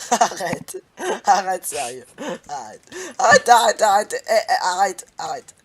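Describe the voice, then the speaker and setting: A man's voice: high-pitched, expressive, takes it slowly, fairly bright tone, slight background noise. A young man hosting a casual gaming session, laughing often and swearing playfully at his partner. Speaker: gaming channel host.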